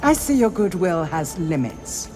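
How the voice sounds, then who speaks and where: A woman's voice: on the low side, big pitch swings, music in the background. Stately, grave elven noblewoman. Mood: solemn appeal. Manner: formal, stately, measured. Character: grave, proud elven city councillor woman with feathered mantle. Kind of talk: dramatic video game cutscene acting.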